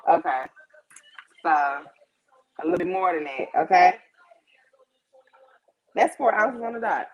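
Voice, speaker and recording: A woman's voice: on the low side, expressive, takes it slowly, dull audio, a crowd in the background. A woman of about thirty hosting a casual livestream, chatting informally and slangily straight to her audience. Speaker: host of a live chat show.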